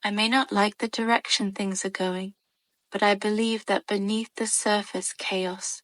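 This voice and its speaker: Woman, meditation guide, very slow pace, soothing calm delivery, dry close sound. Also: mid-range voice, no echo. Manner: slow, measured short phrases with pauses. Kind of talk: guided meditation, scripted gentle narration.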